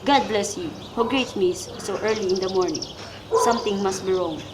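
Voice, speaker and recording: A holy friar played by a woman recites in a careful, amateur radio-play manner. The mentor's counsel is solemn and gently chiding, at an average pitch. Birdsong and noticeable background noise sit behind the voice. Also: fairly quick, close to an everyday voice. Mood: kindly concern. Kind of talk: student radio drama, recited modern Shakespeare.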